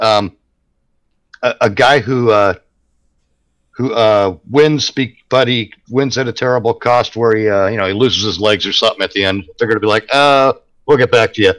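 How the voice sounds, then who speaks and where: A man's voice: mid-range voice, normal speed, fairly bright tone, poor audio. A man in casual comic banter, wisecracking and swearing, often stammering over repeated words. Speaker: podcast panelist.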